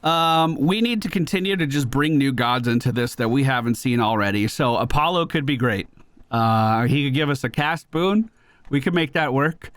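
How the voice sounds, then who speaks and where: A man's voice: mid-range voice, expressive, normal speed, fairly bright tone, dry recording. A man streaming a game, giving casual, slangy commentary as he thinks aloud. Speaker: gaming streamer. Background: game music.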